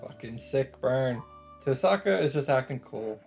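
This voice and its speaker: A man's voice: medium pitch, expressive, unhurried, gravelly, a little mellow, faint music behind. A man reading visual novel text aloud as casual narration, dropping in his own asides.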